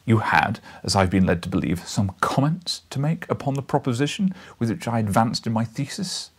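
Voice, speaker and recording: A man voicing a pompous, menacing, bullish professor with a booming, rumbling voice for a storybook. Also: big pitch swings, moderate pace, husky. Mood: gruff, menacing. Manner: pompous, sarcastic, forceful. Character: huge bellowing insolent bullish professor, menacing bully.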